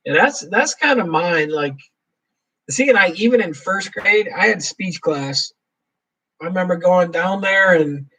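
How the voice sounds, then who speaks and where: A man's voice: high-pitched, fairly bright tone, recorded from a distance, low-quality recording. A man hosting a casual live Q&A, rambling through his answers and reading viewer comments aloud. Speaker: livestream host.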